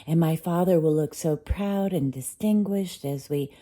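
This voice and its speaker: A woman voicing a dreamy, excitable young mermaid princess with a gushing, coaxing manner in a bedtime story. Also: low-pitched, unhurried. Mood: dreamy excitement.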